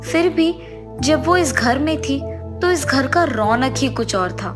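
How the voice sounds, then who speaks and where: A woman's voice: sits high, expressive, slow, over music. A woman voicing a grieving, easily frightened husband who is master of the house in a cartoon story. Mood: sad, musing.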